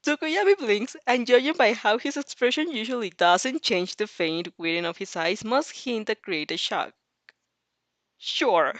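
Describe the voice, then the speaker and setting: A woman narrating fan fiction aloud with casual reactions, expressive, informal and giggly. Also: on the high side, a little slow, dry recording.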